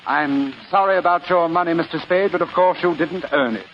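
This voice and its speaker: An actor playing a suave, pompous older crook in a 1940s radio drama, with florid, courtly phrasing. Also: male voice, a little high, fairly quick, natural voice. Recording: dull old radio recording with faint hiss.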